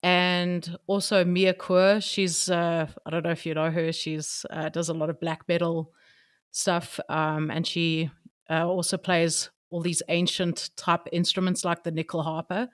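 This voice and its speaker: A woman's voice: on the low side, flat delivery, unhurried. A woman answering interview questions about her music, hesitant with many fillers. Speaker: band keyboardist.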